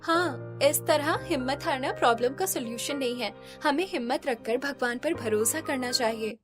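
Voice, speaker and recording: A woman's voice: sits high, normal speed, over music, natural voice. A woman playing a kind, hopeful young daughter-in-law who speaks in an earnest, reassuring way in a cartoon.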